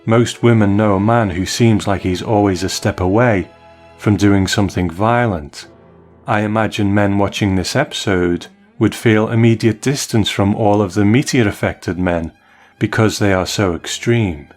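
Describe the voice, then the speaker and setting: A man with a fairly low voice reads listener mail as a podcast host. His delivery is measured, and music plays behind him. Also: darkish tone. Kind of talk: reading out listener messages.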